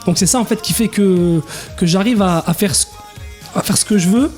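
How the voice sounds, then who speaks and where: A man's voice: high-pitched, moderate pace, darkish tone, faint music behind. Hesitant young man in his twenties. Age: 20s. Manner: hesitant, many restarts and fillers. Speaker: DJ guest. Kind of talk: casual interview chat.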